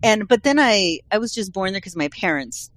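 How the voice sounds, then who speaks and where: A woman's voice: mid-range voice, animated, moderate pace, fairly bright tone. A woman from Colombia, Spanish her first language, chatting casually and rambling through her story. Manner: rambling, restarts often, laughs easily. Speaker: guest. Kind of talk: casual chat.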